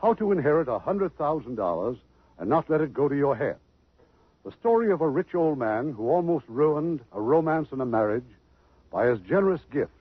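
A man's voice: medium pitch, husky, no room sound. A man hosting and narrating the setup of a 1950s radio drama. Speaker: radio host and producer. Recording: muffled old radio broadcast with music.

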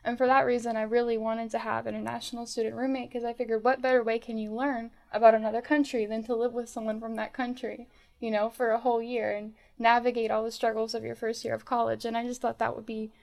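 Young woman with gravelly fry, chatty. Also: on the high side, flat delivery, fast talker, a little mellow. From Northern Virginia, United States. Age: young adult. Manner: chatty, laughs often, many fillers. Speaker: student guest. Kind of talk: casual interview chat.

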